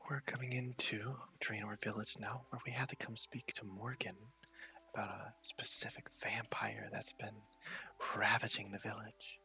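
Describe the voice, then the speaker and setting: Chill guy doing soft asmr gaming on a headset, crisp bright edge, lots of fry, rambles along, bit whispery. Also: fairly low pitch, little pitch movement, dull audio, soft background music. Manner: casual, unhurried, rambling. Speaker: host of a gaming channel. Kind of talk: soft-spoken ASMR gameplay commentary. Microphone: headset microphone.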